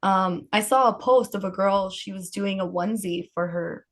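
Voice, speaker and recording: A woman's voice: medium pitch, normal speed. A woman from Palestine speaking as a podcast guest, telling personal stories in a casual, informal way. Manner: informal, frequent laughter. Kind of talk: casual conversational storytelling.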